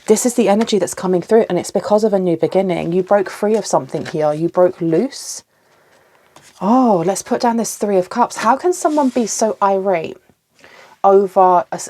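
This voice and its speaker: Brief woman tarot reader, mid-pitched, a little sniffly, thinks aloud to the cards. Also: mellow. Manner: musing aloud, pausing to ask the cards for clarity. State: slight cough and sniffles. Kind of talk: solo tarot reading to camera.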